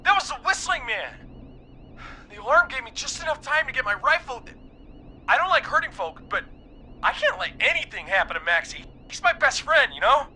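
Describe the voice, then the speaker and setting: Bright, high-voiced excitable roller rink guy. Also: moderate pace, a little hiss or hum, heavily acted. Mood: shaken, earnest. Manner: dramatic, slangy, emphatic. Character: excitable, grateful male roller rink owner. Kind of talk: video game voice acting.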